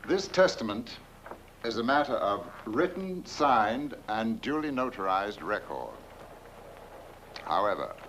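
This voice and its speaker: An actor playing a formal, solemn family solicitor in a film, speaking in a measured, officious way with pauses. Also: male voice, fairly low pitch, a bit bright, exaggerated voice. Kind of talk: film dialogue. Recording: noisy old film soundtrack.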